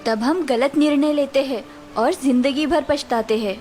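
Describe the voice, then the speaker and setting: A woman doing a gentle, wise village mother. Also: sits high, normal speed, soft background music, lightly acted. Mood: gentle advising. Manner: calm, patient advice.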